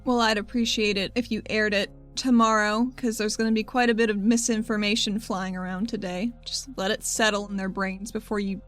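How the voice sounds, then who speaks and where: A woman's voice: mid-range voice, steady tone, fairly quick, few pauses, fairly bright tone, faint music behind. A woman playing a tabletop roleplaying game, casually describing what her character does and says.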